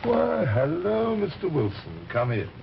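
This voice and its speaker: An actor playing a suave, menacing fat villain with supernatural powers in an old radio thriller, speaking with smooth, condescending hesitations. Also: male voice, fairly high pitch, wildly swooping pitch, unhurried, exaggerated voice. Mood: mock-polite. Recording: dull, hissy old radio recording.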